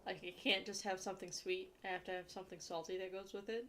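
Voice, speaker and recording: A woman's voice: medium pitch, level tone, fast, fairly bright tone, slight background noise. A young woman from Ohio with an Ohio accent, chatting casually on a stream and answering viewer questions aloud.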